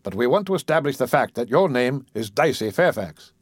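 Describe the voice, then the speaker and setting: A man voicing a composed young Southern prosecuting attorney, questioning in formal, measured speech. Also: medium pitch, sing-song, slightly rough, dry recording, clean studio sound.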